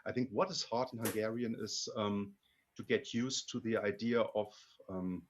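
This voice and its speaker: A man from Germany with a German accent, a guest in a casual interview about learning languages, talking haltingly with many restarts and repeated words. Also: fairly low pitch, steady tone.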